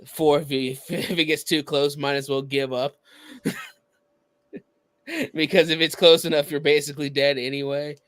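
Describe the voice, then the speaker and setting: A man co-hosting a podcast, explaining things casually in a halting, searching way. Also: fairly high pitch, little pitch movement, fairly bright tone, no room sound. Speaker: podcast co-host.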